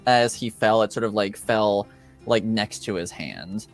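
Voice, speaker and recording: A man running a tabletop game as game master, improvising narration in a halting way as he searches for words. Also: fairly low pitch, faint music behind.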